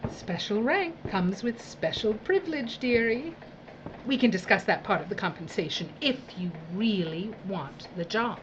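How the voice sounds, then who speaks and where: A woman's voice: medium pitch, expressive, moderate pace, highs cut off, a little hiss or hum, lightly acted. An actress playing a domineering, sadistic female boss in an audio drama, with a condescending, mock-sweet, imperious drawl. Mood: gleeful, scheming. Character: domineering, theatrical, sadistic female boss of dystopian work crews. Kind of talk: theatrical audio drama acting.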